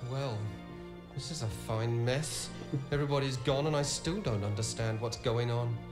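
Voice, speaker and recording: A man's voice: mid-range voice, normal speed, echoey room, over music, lightly acted. An actor playing a bewildered young male weaver, an outcast, in an adventure game. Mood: bewildered, put-upon.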